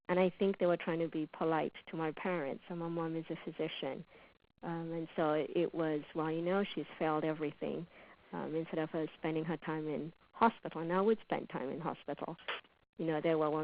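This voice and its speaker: Woman from Uganda, unhurried conversational talk, thinks aloud with fillers, low gravelly voice. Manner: relaxed, thoughtful, many fillers. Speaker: patient and public health specialist. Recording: tinny phone-like line.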